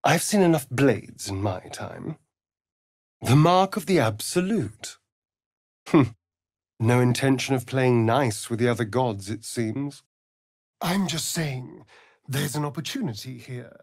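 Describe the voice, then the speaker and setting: Flirty sardonic british vampire rogue from a fantasy game, slow arch drawl, super dry close sound. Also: male voice, medium pitch, lively intonation, gravelly, darkish tone, husky, dry recording, exaggerated voice. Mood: wary, persuasive. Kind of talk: theatrical video game character acting.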